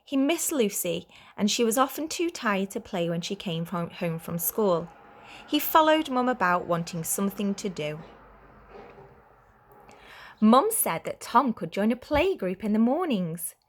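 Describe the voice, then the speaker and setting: A woman's voice: mid-range voice, sing-song, unhurried. Woman reading a children's storybook. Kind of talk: children's storybook read-aloud.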